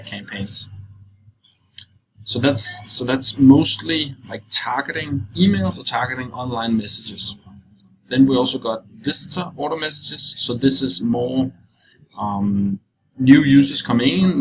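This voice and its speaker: A young man giving an informal screencast walkthrough, explaining in a halting, loose way as he goes. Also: medium pitch, a bit bright. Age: young adult. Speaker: software walkthrough presenter. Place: indoors, at a computer. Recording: clearly noisy screencast recording.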